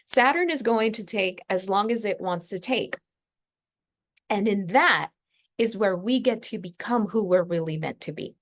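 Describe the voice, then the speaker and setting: A woman hosting an astrology podcast, speaking unscripted in a reflective, emphatic way with deliberate pauses mid-thought. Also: mid-range voice. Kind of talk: unscripted spiritual astrology lesson.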